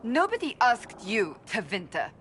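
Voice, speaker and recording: A woman's voice: medium pitch, huge pitch swings, measured pace, a bit bright, a little hiss or hum. An actress playing a stern but secretly bashful warrior woman in a video game, speaking in a clipped, formal, earnest way. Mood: stern, defensive. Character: stern female warrior, secretly bashful lover of romance novels.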